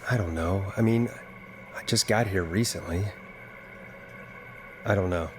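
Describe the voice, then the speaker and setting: A man's voice: low-pitched, unhurried, background noise. A man playing a thoughtful, puzzled radio host turned investigator in a video game, conversational and musing. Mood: puzzled, investigative.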